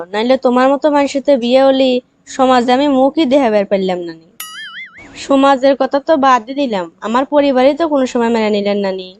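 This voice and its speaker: Woman voicing a high-pitched, scornful cartoon ex-girlfriend. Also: a little hiss or hum, close to an everyday voice. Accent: rural Bangladeshi. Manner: scolding, sarcastic, accusatory. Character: scornful young female job interviewer, bitter ex-girlfriend. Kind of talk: cartoon comedy dialogue.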